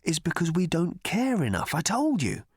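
A man's voice: fairly high pitch, huge pitch swings, moderate pace, breathy edge, dry recording, pitched above the performer's own voice, exaggerated voice. A man voicing a laid-back, cynical adult male traveller with a dry, offhand manner.

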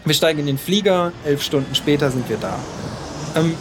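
A man's voice: a little high, animated, moderate pace, background noise. Candid German man telling his story. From Lower Saxony, Germany. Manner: candid, self-deprecating storytelling. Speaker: podcast narrator. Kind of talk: personal storytelling.

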